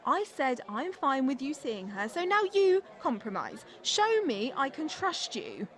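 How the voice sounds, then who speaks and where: A woman's voice: high voice, sing-song, measured pace, a bit bright, heavily acted. A woman playing a manipulative, wounded girlfriend in a stage drama, arguing in a defensive, guilt-tripping way. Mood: controlling, insistent. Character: manipulative, wounded, accusing young girlfriend in abusive relationship. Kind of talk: dramatic dialogue from a stage play.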